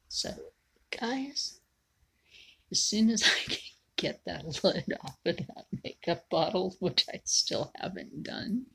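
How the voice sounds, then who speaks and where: A woman in her forties chatting casually to viewers while she draws, often sinking into a low whisper. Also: creaky, slightly rough. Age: 40s. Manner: slow, frequently drops into a whisper. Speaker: video creator. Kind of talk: casual livestream chat while drawing.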